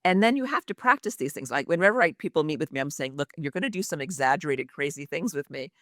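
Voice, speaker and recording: A woman's voice: deep voice, expressive, quick pace, fairly bright tone, dry recording, clean studio sound. A woman who is an executive communication coach speaks expressively and emphatically in a podcast interview, with the practiced delivery of a trained actress.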